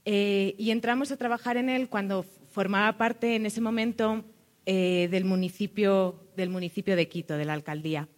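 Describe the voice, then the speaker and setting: A woman's voice: medium pitch, steady tone. Spanish-accented woman giving a panel talk. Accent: Spanish (Spain). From Spain. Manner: thoughtful, pauses on fillers, often ends points with a questioning "no?". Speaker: cultural manager and public official presenting a project. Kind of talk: panel presentation to an audience.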